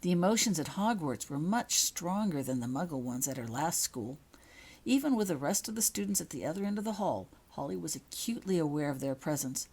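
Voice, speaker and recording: A woman narrating a story from a script, reading her own fiction aloud with a husky voice. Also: low-pitched, expressive, fairly quick, darkish tone.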